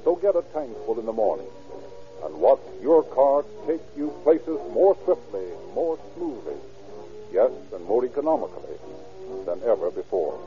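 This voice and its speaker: A man announces in a polished, emphatic 1930s radio style. His mid-pitched voice is formal, with clear music in the dull, band-limited old broadcast recording. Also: big pitch swings, moderate pace.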